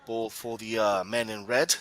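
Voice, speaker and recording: Chatty football commentator from Gibraltar. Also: male voice, fairly low pitch, flat delivery, normal speed, fairly bright tone, a little hiss or hum. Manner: chatty, reads viewer questions aloud. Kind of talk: live play-by-play commentary.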